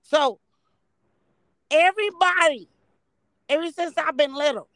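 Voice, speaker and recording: High, bright-voiced woman in her thirties. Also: measured pace, no echo, studio quality. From San Francisco Bay Area, California. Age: 30s. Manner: loud, blunt, profane storytelling. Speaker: podcast guest. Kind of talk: casual chat.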